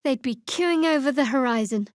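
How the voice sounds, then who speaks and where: An actress playing a guarded, sardonic young female cleric of a dark goddess in a video game, with dry, measured delivery. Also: high-pitched, expressive, dry recording. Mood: dry, wry.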